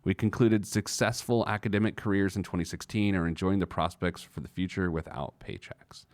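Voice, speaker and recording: A man's voice: sits low, fairly even intonation, fairly quick, no room sound. A man hosting a personal finance show in a casual, playfully digressive, self-mocking way, repeating phrases for emphasis. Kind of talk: casual talk show monologue with some letter reading.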